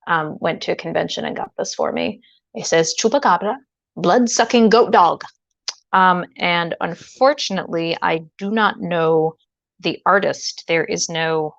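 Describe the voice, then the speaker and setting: A woman streamer with a creaky, mid-pitched voice, chatting casually as she tinkers. She rambles hesitantly and drops in playful asides. The sound is dry and somewhat dull. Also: dry recording. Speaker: livestreamer, host. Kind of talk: casual livestream chat while tinkering with gear.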